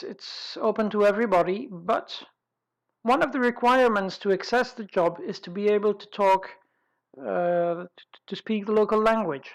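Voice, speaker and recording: A woman presenting an informal webinar, explaining things in a deliberate way with frequent pauses and rephrasing. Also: medium pitch, low-quality recording.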